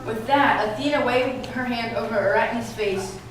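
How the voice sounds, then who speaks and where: A woman's voice: fairly high pitch, moderate pace. A woman narrating a scripted story for a readers theatre performance. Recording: echoey room, distant mic, noisy.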